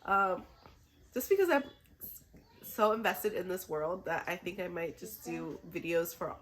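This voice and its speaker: A woman's voice: on the low side, animated, unhurried, rough recording. A woman who is a little sick chats casually and enthusiastically to camera as a book vlogger, in an informal way.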